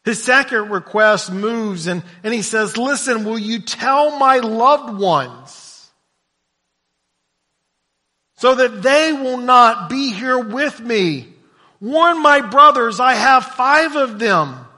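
High-voiced preacher in a reverberant hall. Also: male voice, animated, takes it slowly. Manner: swings from low hushed lines to loud shouted emphasis; repeats words when stumbling. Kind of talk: sermon.

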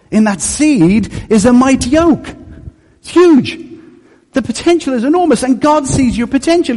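A man in his fifties from Dublin, with a softened Dublin Irish accent, preaching a sermon in a joking, conversational, storytelling way. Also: high voice, slightly rough. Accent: Dublin Irish, softened by years in England. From Ireland. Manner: conversational, jokey, animated storytelling. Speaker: pastor. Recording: echoey hall.

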